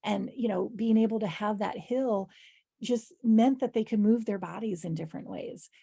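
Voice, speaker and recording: A woman with a hoarse, husky voice giving an informal instructional presentation as an educator, explaining in a conversational way with practical examples. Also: medium pitch, normal speed, dark tone, dry recording. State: hoarse voice, clearing her throat. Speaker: early childhood educator leading a training.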